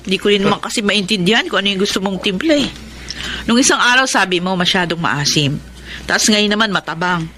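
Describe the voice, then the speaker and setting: A medium-pitched Filipino female voice playing a mild, put-upon woman in a radio soap. She protests meekly, with faint noise in the recording. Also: expressive, fairly quick, pushed and bright. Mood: hurt, defensive.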